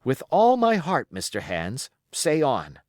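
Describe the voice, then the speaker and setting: A man's voice: medium pitch, wildly swooping pitch, takes it slowly, darkish tone, no echo, studio quality, pitched above the performer's own voice. A man voicing a plucky, cheeky boy hero of a sea adventure storybook, speaking boldly and formally. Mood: firm, cheerful. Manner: formal, bold, declarative.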